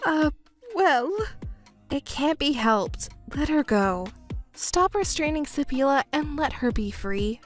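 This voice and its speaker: A woman voicing a composed young stepdaughter who speaks in a calm, cold, measured way. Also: high-pitched, soft background music. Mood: cool. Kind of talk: narrated drama dub.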